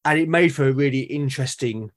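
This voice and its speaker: A British man in casual, reflective conversation, explaining things in a halting, thoughtful way and often rephrasing himself. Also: mid-range voice. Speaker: podcast guest or co-host, tabletop game master. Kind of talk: casual reflective conversation about roleplaying games.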